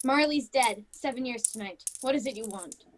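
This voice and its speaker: A high-voiced, miserly older woman boss played by a woman in an amateur radio play. She is cold and grumpy, snapping curtly and scornfully at everyone. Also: normal speed, slight background noise, close to an everyday voice. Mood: cold, dismissive.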